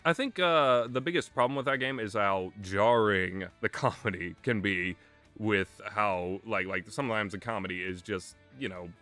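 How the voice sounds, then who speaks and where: Bright-voiced young man rambling casually. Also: fairly low pitch, faint music behind. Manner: hesitant, halting, often chuckling mid-sentence. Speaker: video game reviewer.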